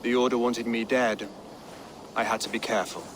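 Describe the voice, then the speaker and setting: A man's voice: medium pitch, little pitch movement, moderate pace, background noise, lightly acted. An actor playing a humbled Saxon king, formal and restrained, in a dramatic game scene. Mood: guarded. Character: humbled, guarded Saxon king living as a servant. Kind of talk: dramatic game cutscene acting.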